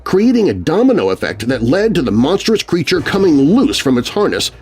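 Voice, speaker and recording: A man's voice: fairly high pitch, very animated, fairly quick, darkish tone, husky, faint music behind. A man narrating a scary story for children in a theatrical, suspenseful, scripted style. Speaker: narrator and host.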